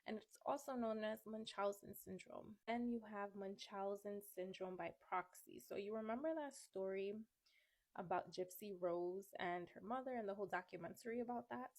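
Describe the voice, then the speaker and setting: A woman's voice: mid-range voice, a little mellow, no echo. A young woman, a little congested from a cold, casually walking through medical coding guidelines step by step. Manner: chatty, explains step by step. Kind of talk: casual study walkthrough. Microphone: phone camera.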